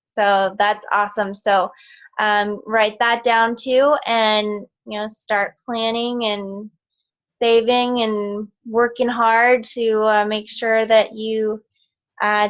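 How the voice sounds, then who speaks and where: Woman host for a group video call; unhurried, encouraging, muffled call audio. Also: fairly high pitch. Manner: encouraging, calls on each person in turn.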